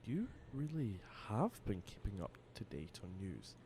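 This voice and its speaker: A man playing a wary, irritable vampire in an audio drama, terse and guarded, with a husky voice. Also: on the low side, huge pitch swings, a little slow, mellow, slight background noise, full character voice. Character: wary, irritable male vampire, curt and proud.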